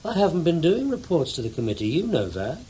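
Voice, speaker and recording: An actor playing a blunt, dogged intelligence investigator in a radio drama, probing and insistent in clipped phrases. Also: male voice, on the high side, very animated, fast talker, dark tone, slight background noise. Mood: firm. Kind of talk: radio drama acting, dialogue and first-person narration.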